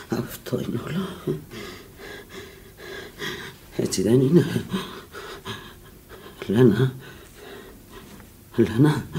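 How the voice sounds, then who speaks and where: An actress playing a sick, drunken, embittered fading prostitute in a Greek radio drama, low and weary, rambling and repeating herself. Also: female voice, dark tone. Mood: weary, insecure. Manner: rambling, repetitive, volatile. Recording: old radio recording with hiss and clicks.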